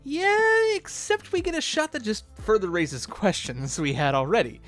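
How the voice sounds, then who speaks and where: A video host giving casual, expressive conversational commentary. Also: mid-range voice, normal speed, soft background music.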